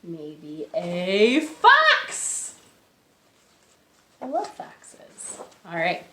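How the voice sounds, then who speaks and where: A woman hosting a storytime for young children, reading aloud expressively with drawn-out phrases and questions to her listeners, recorded indoors at home. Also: fairly low pitch, wildly swooping pitch, sharp tone, slight background noise. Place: indoors, in a living room. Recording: roomy, echoey home room sound.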